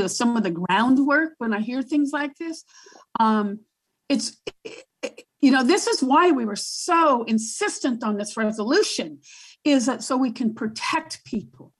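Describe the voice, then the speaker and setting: A woman with a booming voice speaking as a guest advocate in a panel discussion, emphatic and repeating herself as she works out her thoughts. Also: slightly rough.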